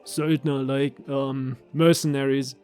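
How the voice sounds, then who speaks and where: A man's voice: mid-range voice, flat delivery, takes it slowly, a little mellow, clean tone. A man chatting casually as a co-commentator, explaining video game voice lines. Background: video game music and voice clips.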